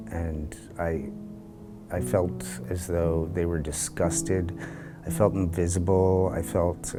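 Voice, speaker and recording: A man telling his own story in a short video, reflective and halting, often repeating a word as he searches for the next one. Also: sits low. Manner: halting and reflective, with frequent repeated words and fillers. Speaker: lived experience advisory group member. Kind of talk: personal testimony for a short video. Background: soft guitar music.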